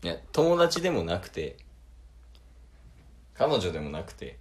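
A man's voice: sits low, big pitch swings, a little slow. A man acting a proud, competitive contestant rival in an amateur radio drama, talking bluntly and informally with a Kansai accent. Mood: teasing, skeptical. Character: competitive older male rival on a dating show, proud and determined.

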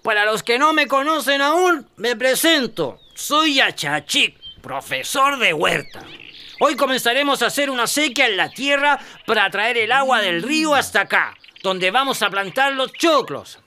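A man's voice: very high-pitched, animated, normal speed, pushed and bright, slight background noise, heavily acted. A man playing a self-important, bossy but cheerful farming teacher in a radio play, speaking in a pompous, instructive way. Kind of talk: theatrical radio play acting.

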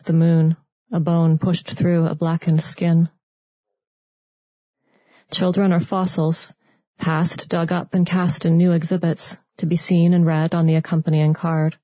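A woman from Canada, a poet, reading her own verse in a restrained, deliberate manner. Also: sits low, no room sound. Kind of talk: poetry reading of own work. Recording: dry, slightly dull close recording.

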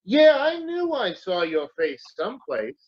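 A man's voice: high-pitched, wildly swooping pitch, a little slow, dull audio, heavily acted. A man playing a smug, sneering gangster with a sarcastic, mocking drawl in a vintage-style radio drama. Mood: sly, taunting. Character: smug, sneering male gangster, menacing wise guy.

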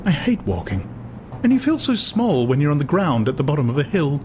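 A man's voice: fairly high pitch, big pitch swings, brisk, a little mellow, soft background music, pitched above the performer's own voice. A man voicing a squeamish, indignant young woman who is easily horrified, in an audiobook with resentful, emphatic delivery. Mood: resentful, flat.

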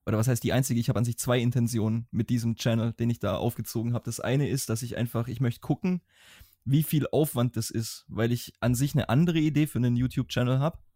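Young man, Swabian accent, podcast co-host, rambling casual chat, medium pitch, dry close recording. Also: fast talker, dark tone, no echo. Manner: rambling, restarts sentences, chatty.

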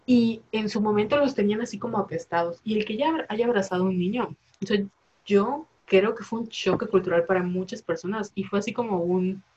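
A woman's voice: mid-range voice, fairly quick, darkish tone, highs cut off, a little hiss or hum. A woman in her twenties with a Mexican accent, chatting casually and rambling, full of slang and fillers. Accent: Mexican Spanish. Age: 20s. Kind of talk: casual chat.

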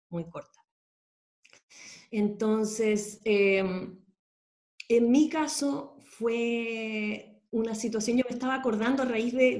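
A woman's voice: mid-range voice, a little mellow. A woman in her thirties with a Chilean Spanish accent, an actress telling her story as an interview guest with lots of fillers and restarts. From Sweden. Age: 30s. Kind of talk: casual interview.

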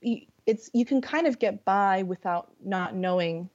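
A young woman with a Californian accent, a guest chatting casually, friendly and unhurried, with many fillers. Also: medium pitch, darkish tone. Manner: friendly, fillers and laughs, deliberate. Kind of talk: casual conversation. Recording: dull lo-fi sound.

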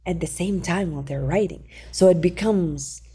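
A woman who teaches singing, talking in an interview in calm, gentle, halting non-native English with many fillers. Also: fairly low pitch, husky. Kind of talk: conversational interview.

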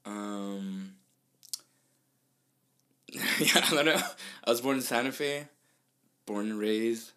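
A young man from New Mexico with a slight Mexican Spanish accent, co-hosting a casual podcast in a laid-back, soft-spoken way. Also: fairly low pitch, slow pace, pauses often. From New Mexico, USA. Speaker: podcast co-host. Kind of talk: casual chat.

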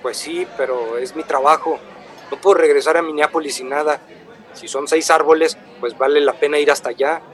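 An actor playing an earnest, polite traveling wood buyer who explains himself eagerly in a radio drama. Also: male voice, on the high side, steady tone, a little fast, natural voice. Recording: crowd murmur of a café behind.

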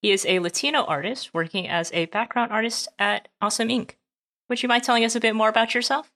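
A woman's voice: a little high, fast, fairly bright tone. A woman co-hosting a podcast, introducing the guest and the show's games in a friendly way. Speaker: podcast co-host. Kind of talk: casual chat.

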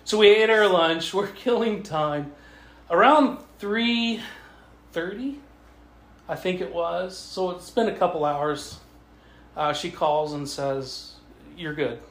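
A man from Canada telling a casual, humorous travel story to a writers' group, animated and chuckling often as he acts out the dialogue. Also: sits high, slow pace. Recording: echoey room with faint noise.

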